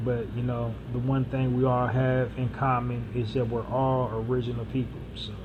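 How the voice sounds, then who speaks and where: A man talks through his own podcast in a loose, rambling way, full of fillers and restarts. His voice sits at an ordinary middle pitch. Steady noise from passing vehicles is plainly heard behind him. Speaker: podcast host. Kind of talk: solo podcast monologue.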